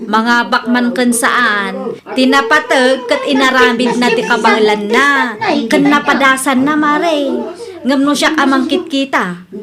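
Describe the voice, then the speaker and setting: A candid, chatty Filipino woman friend from a radio comedy drama, played by an actress. Her voice is distinctly high. She talks in a teasing, conversational way. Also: a little slow. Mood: reassuring. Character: chatty, candid adult female family friend.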